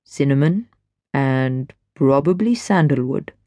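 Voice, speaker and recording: A woman acts a smooth, courteous gentleman in an audiobook novel. The voice is pitched low and kept soft and honeyed, with polite, unhurried phrasing, recorded very dry. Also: animated, no room sound, exaggerated voice.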